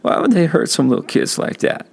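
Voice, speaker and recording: Man acting a weepy, guilt-ridden young boy, pitched up. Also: very animated, creaky, breathy edge, exaggerated voice. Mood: pleading, weepy. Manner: weepy, halting, stammering pleas. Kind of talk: audiobook character acting.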